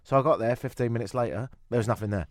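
A man hosting a talk radio show, sardonic and animated, joking and ranting about the news. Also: medium pitch, rapid-fire, no room sound, clean studio sound. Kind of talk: opinionated talk radio chat. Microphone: studio microphone.

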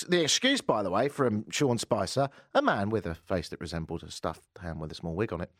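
A man hosting a talk radio show, sardonic and animated, joking and ranting about the news. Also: on the low side, no room sound, studio quality. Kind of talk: opinionated talk radio chat. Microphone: studio microphone.

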